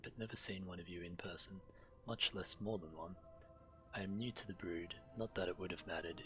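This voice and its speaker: A game streamer, a man with a very deep but forward voice. He reads quest dialogue aloud in a measured manner, correcting his slips as he goes, with game music playing behind him. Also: sharp tone, poor audio. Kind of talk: reading quest text aloud while playing. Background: video game music.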